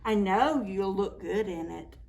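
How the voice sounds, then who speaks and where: A woman voicing helpful, excited young children in a picture book, earnest and exclaiming. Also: unhurried, creaky, slight background noise. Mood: generous. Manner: high-pitched, exclaiming, earnest.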